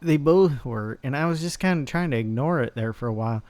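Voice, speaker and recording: A man's voice: medium pitch, lively intonation, brisk, a little mellow, no echo. A man in his twenties chatting casually in character during a roleplay, rambling with lots of restarts. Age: 20s. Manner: rambling, self-interrupting storyteller.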